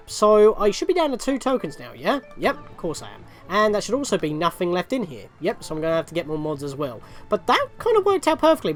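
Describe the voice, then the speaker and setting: Chatty guy rambling through a let's play, really lively pitch swings, music playing behind him. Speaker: gaming video host. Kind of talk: casual let's play commentary.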